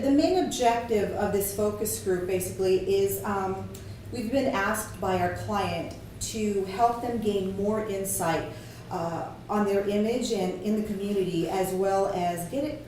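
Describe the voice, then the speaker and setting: A woman's voice: medium pitch, a little mellow, slight background noise. A woman moderating a focus group, explaining the ground rules in a chatty way with many fillers and restarts. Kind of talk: casual group briefing. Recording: echoey hollow room, mic at a distance.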